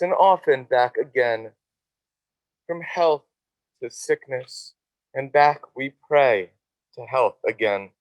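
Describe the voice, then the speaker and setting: A man officiating at a funeral, reciting a poem solemnly and deliberately, phrase by phrase. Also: on the high side, no echo, highs cut off.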